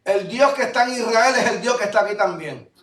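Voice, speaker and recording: A male pastor from Puerto Rico with a Puerto Rican accent, preaching a fervent sermon that swings between loud declarations and low asides. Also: brisk. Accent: Puerto Rican Spanish. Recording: echoey room, voice from far off mic.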